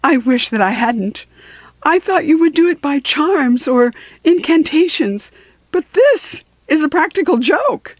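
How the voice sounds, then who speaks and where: A woman's voice: sits high, big pitch swings, measured pace, no room sound, voice pushed up. Woman playing an aggrieved old kangaroo, whining. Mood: complaining.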